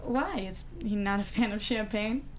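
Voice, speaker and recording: A woman's voice: medium pitch, moderate pace, noisy background, natural voice. A woman playing an eager, spirited young heiress in a dramatic table read. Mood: eager, curious.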